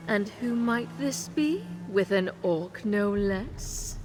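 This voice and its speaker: A woman's voice: medium pitch, animated, slow pace, a little mellow, faint music behind. An actress playing a condescending, imperious noblewoman who organizes hunts for the elite. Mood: haughty. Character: haughty noblewoman who hosts cruel hunts. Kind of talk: video game voice acting.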